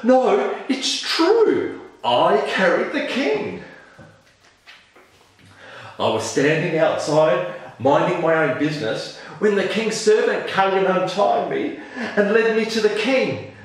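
A man voicing an eager, excitable young donkey in a children's story, full of wide-eyed enthusiasm. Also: high-pitched, slow, exaggerated voice. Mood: excited. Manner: exclaiming, breathless enthusiasm. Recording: roomy, echoing, distant microphone.

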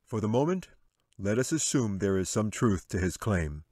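A man voicing a calm, wise male angel in an audiobook, with a measured, solemn delivery. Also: fairly low pitch, no echo, clean studio sound. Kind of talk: audiobook character reading.

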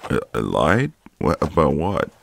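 An easygoing, flirtatious ex-marine next door, acted by a man for an intimate ASMR roleplay. His voice is extremely low and full of gravel. He takes his time in a casual drawl with pauses. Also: huge pitch swings, a bit bright, a little hiss or hum, heavily acted. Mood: teasing, flirtatious. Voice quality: deep, gravelly.

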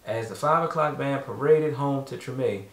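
A man's voice: medium pitch, normal speed. Echoey man reading a storybook aloud. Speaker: father reading to his children. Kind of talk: storybook read-aloud narration. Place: room at home with children. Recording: echoey room, distant mic.

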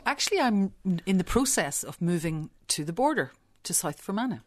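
A woman's voice: medium pitch, very animated, brisk, a little hiss or hum. A woman with a Belfast accent, talking conversationally about her memories as an interview guest. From Belfast, Northern Ireland. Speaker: interview guest, visual artist. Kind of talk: conversational reminiscence.

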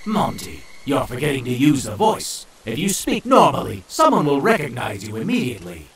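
Man acting a creaky, commanding demon crime boss. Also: mid-range voice, very animated, normal speed, recorded from a distance, crowd noise. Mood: suspicious. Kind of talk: audio comic dub acting.

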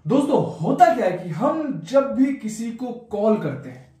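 High-voiced young Indian man, echoey room. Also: very animated, unhurried, mellow. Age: 20s. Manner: explanatory, repeats steps for emphasis. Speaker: tech tutorial host. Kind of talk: step-by-step phone tutorial. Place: small bare indoor room.

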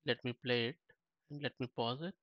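A man talks through a software demo in a loose, chatty way, restarting his sentences as he thinks aloud. His voice sits at an ordinary pitch. The recording is dull and muffled, with a very dry sound. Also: fairly even intonation, a little fast, no room sound. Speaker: tech tutorial host. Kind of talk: casual screen-share walkthrough.

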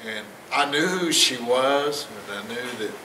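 A man's voice: medium pitch, animated, unhurried, sharp tone. A man in his fifties telling a personal testimony to a church congregation, rambling and chuckling at his own jokes. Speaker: church member giving testimony. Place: church sanctuary stage, indoors, large. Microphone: far camera, no mic seen. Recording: roomy echo from far off, noisy.

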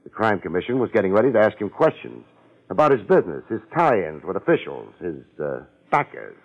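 A hard-boiled radio detective of the 1950s. His voice is deep and husky, with terse, sardonic delivery. The vintage recording is muffled. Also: big pitch swings, a little fast, full character voice. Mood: probing, sardonic. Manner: sardonic, terse, clipped sentences. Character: hard-boiled wisecracking male private detective. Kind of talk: 1950s radio detective drama acting and narration.

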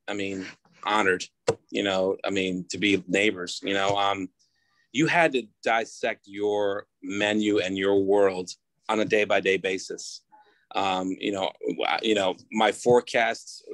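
A man in his forties on a video-call panel, talking haltingly and repeating phrases as a restaurant operator. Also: lowish voice, no room sound, low-quality recording. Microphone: phone at arm's length on video call.

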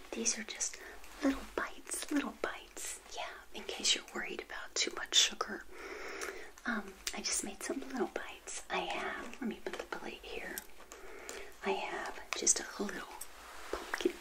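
A middle-aged woman whispering gently and intimately in an ASMR roleplay as a friendly witch hostess, close to the mic. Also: mid-range voice, low-quality recording. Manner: gentle, unhurried, intimate personal attention. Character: friendly witch hosting a tea party. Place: small indoor set, seated. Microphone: close to the mic, facing the camera.

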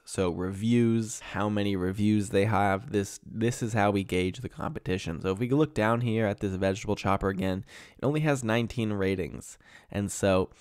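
A man's voice: on the low side, dry recording, studio quality. A man in his thirties with a nasal voice from a cold, walking through a screen-share tutorial as an online selling coach. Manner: steady, explanatory walkthrough. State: getting over a cold. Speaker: e-commerce coach.

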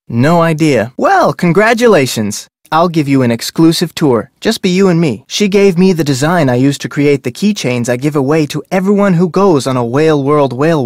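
A man's voice: a little high, dry recording, clean studio sound, lightly acted. A man voicing a chatty, salesmanlike whale-watch operator with a sly side in a video game, with a breezy, glib way of talking. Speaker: game voice actor. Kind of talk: video game character dialogue.

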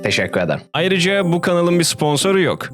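Man narrating, lively and expressive pitch. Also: fast. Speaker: video host. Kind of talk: scripted video essay narration with casual asides. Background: background music.

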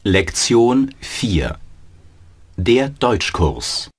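Man voicing a deep, slow lesson announcer. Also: sing-song, breathy edge, heavily acted. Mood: plain. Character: plain adult male student and lesson announcer. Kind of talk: slow scripted learner dialogue.

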